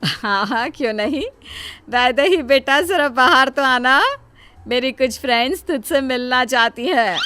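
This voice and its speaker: A woman's voice: sits high, unhurried, pushed and bright, clean tone, no echo. A woman voicing a boastful middle-aged mother-in-law in a story, gushing emphatically and covering up her embarrassment. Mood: falsely cheerful. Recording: music behind the voice.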